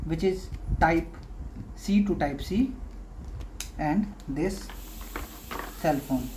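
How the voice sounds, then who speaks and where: A woman hosting a casual product unboxing, talking haltingly in short phrases with pauses. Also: on the low side, noisy background. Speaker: unboxing video host.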